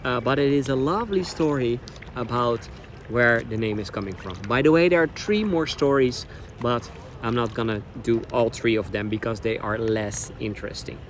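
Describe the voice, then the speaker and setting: A man telling stories as a tour guide, in simple, repeated phrases, outdoors on the water. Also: mid-range voice. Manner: simple phrasing with deliberate repetition. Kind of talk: storytelling tour commentary. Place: outdoors on a small boat on the water. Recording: clear outdoor noise, water sounds.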